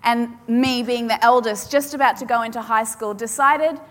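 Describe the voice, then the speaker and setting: A woman's voice: on the high side, fairly bright tone. Woman preaching in an echoing hall. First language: Polish. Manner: emphatic, repeats key phrases with dramatic pauses. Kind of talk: sermon. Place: large hall with audience. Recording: long hall reverb.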